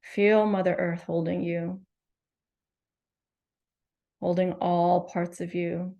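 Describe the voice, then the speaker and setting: A woman leading a guided meditation, speaking slowly and gently with soothing instructions and long pauses. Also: lowish voice, level tone. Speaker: meditation teacher.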